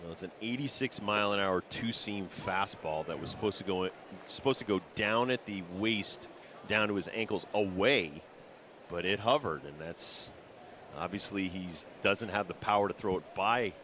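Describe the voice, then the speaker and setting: A man with a fairly low voice gives color commentary on a live baseball game. He explains things conversationally, like talk between colleagues, over some outdoor background noise. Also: moderate pace, sharp tone. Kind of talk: live analytical sports commentary.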